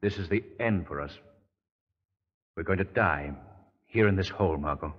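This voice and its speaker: An actor playing an earnest, protective gentleman hero with an American accent, acted dramatically in 1930s radio style. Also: low voice, moderate pace, breathy edge. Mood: grave, tender. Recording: muffled old radio recording with hall reverb.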